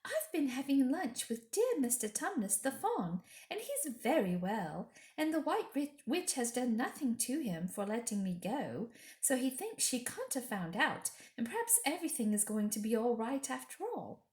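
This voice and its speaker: Woman playing earnest little girl, high lilting voice, eager wonder, storybook reading, roomy echo. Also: very animated, normal speed, a little mellow. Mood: happy, earnest. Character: excited, earnest, trusting young girl. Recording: echoey room.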